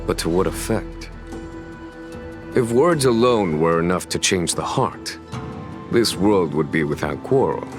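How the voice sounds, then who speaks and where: A stately game general, really low voice, formal and composed, music behind. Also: male voice, expressive. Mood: solemn. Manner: measured, formal, eloquent. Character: composed, stately male general of a fantasy realm. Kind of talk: dramatic scripted game dialogue. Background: background music.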